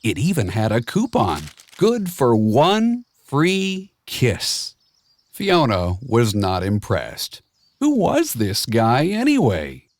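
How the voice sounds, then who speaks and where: A man reading a children's storybook aloud in a measured, expressive storytelling manner, with clear and simple phrasing. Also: medium pitch, no room sound. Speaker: storybook narrator. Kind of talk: scripted children's read-along storybook narration.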